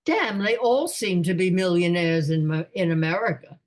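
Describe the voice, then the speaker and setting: A woman's voice: lowish voice, normal speed, close to an everyday voice. A woman playing a doddering, absent-minded elderly lord in a radio comedy, with a pompous, rambling manner. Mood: musing, genial. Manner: pompous, rambling aristocratic musing. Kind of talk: radio play comedy acting.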